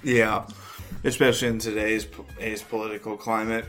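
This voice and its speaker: A man's voice: on the low side, flat delivery, a little fast, fairly bright tone, soft background music. A man co-hosting a podcast, chatting casually. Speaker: podcast co-host. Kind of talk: casual chat.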